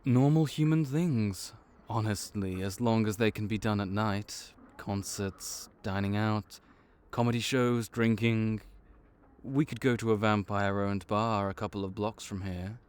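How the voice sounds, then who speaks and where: A man's voice: lowish voice, a little slow, a little mellow, clear, resonant tone, lightly acted. A man voicing a laid-back vampire clerk and mentor in an audio roleplay, dry and wry as he speaks to the listener. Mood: easygoing.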